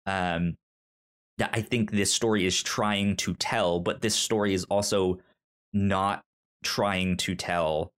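A man co-hosting a podcast in a casual discussion, talking haltingly and often repeating and stumbling over his words. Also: deep voice, steady tone, a bit bright, smooth. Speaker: podcast co-host. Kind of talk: casual book discussion chat.

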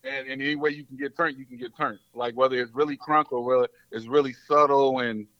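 Loud guy rapper, slangy and laid back, dull lo-fi dry audio. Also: medium pitch, steady tone, quick pace, no echo. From Mississippi and New Orleans, US. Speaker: rapper guest. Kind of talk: casual interview chat.

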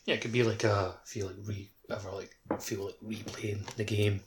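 A man's voice: on the low side, level tone, normal speed, noisy background. A man chatting casually as a co-host on a podcast. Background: clear background music.

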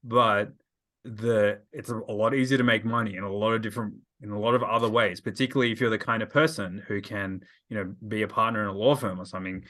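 A man's voice: lowish voice, fairly even intonation, fast talker, a bit bright. A man hosting a casual podcast conversation, rambling with lots of fillers and restarts. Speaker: podcast host.

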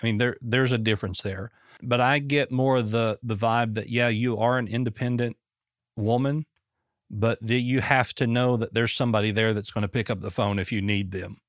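Measured male coach asking probing questions. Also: mid-range voice, steady tone, no echo, studio quality. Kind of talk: coaching conversation.

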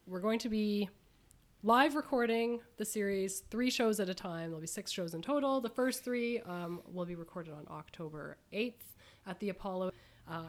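A woman hosting a conversational interview, speaking haltingly with many ums. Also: fairly low pitch. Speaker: radio host.

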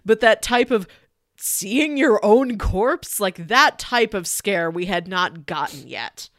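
A woman's voice: medium pitch, animated, slow pace, a bit bright, no room sound. Casual woman podcaster, close dry sound. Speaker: podcast co-host. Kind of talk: casual film discussion.

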